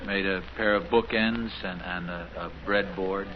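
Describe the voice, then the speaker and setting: A man's voice: low voice, steady tone, moderate pace, natural voice. Man playing proud suburban father, American, dry and hesitant, 1950s radio comedy, dull noisy recording. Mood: mildly put out. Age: middle-aged. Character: stammering, proud, mildly defensive suburban dad. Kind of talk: 1950s radio sitcom acting.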